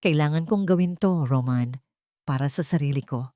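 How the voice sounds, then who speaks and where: A woman's voice: deep voice, normal speed, dark tone, no echo, lightly acted. Resolute protective big sister, played by a female narrator, firm and directive, audio story, dry sound. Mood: quietly determined.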